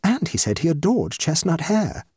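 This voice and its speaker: Storybook role: male reader as dramatic middle-aged hostess, anxious and effusive, swooping high, slightly muffled. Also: big pitch swings, moderate pace, a little mellow, breathy edge, no echo, clean studio sound, heavily acted. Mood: eager, gushing. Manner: emphatic, effusive, self-dramatizing. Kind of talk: comic short story reading.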